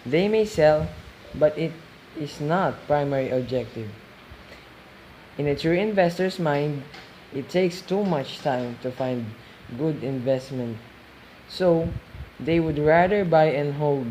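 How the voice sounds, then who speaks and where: A man's voice: on the high side, takes it slowly, long pauses, a little mellow, low-quality recording. Man playing a didactic real estate investor. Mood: firm, explaining. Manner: didactic, repeats phrases for emphasis. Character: calm, didactic male real estate investor being interviewed. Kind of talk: scripted interview in a student radio play. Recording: faint background noise.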